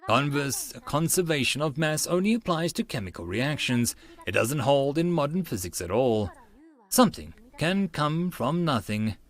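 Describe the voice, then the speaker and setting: A man's voice: on the high side, big pitch swings, normal speed. A man voicing a composed, brilliant young woman scientist who lectures precisely and firmly in an audiobook. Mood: emphatic, lecturing. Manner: precise, firm, didactic.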